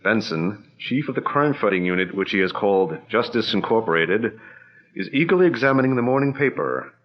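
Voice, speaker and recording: Male radio-drama narrator, low and lively, dull lo-fi old-time sound. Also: a little fast.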